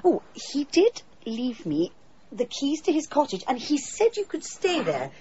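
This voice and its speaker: An English actress playing a kindly, flustered village vicar's wife in a radio drama, fussing and solicitous. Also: female voice, high voice, huge pitch swings, unhurried, muffled recording, noisy background, heavily acted. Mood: hesitant.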